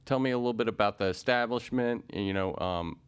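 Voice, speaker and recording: A man with a very low, smooth and resonant voice hosts a podcast interview. He talks loosely and casually, circling his questions with fillers and restarts. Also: brisk. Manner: rambling, many fillers and restarts. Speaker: podcast host.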